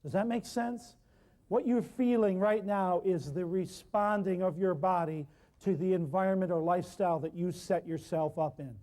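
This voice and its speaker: Loud, emphatic man with a high voice. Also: normal speed. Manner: loud, emphatic, folksy, repeating lists of words. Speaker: natural healing teacher. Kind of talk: workshop lecture to a live class.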